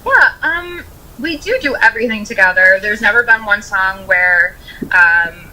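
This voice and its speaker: A woman's voice: mid-range voice, expressive, measured pace, sharp tone, slight background noise, poor audio. A woman in her twenties with an American accent, chatting casually as a musician being interviewed, often saying "like" as she talks. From Rochester, United States. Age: 20s.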